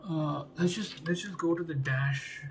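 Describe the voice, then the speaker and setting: A man's voice: fairly high pitch, fairly even intonation, unhurried, soft background music. A young man who is not a native English speaker, talking to himself while he works through game code, repeating words and trailing off. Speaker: game developer. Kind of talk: think-aloud coding vlog.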